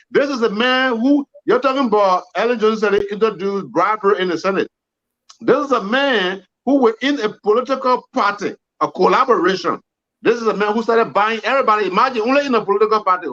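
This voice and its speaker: A man's voice: high-pitched, fast talker, sharp tone. A man in his forties arguing emphatically in a political debate, accusing opponents. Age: 40s. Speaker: panelist. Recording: dull lo-fi audio.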